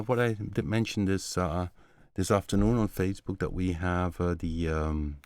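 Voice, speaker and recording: Deep-voiced man demoing synths. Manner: relaxed, halting, many fillers, slightly non-native phrasing. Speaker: synth developer hosting a live stream. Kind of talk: casual live-stream demo. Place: home studio, indoors.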